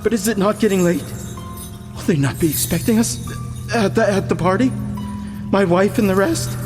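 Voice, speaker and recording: Man acting a high-voiced doomed nobleman in echoing vault. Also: normal speed, close to an everyday voice. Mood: desperate forced mirth. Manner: theatrical, pleading, nervously jovial. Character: doomed tipsy nobleman in jester's hat, frightened, jovial. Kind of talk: gothic radio drama acting. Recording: long echoing vault reverb, music behind.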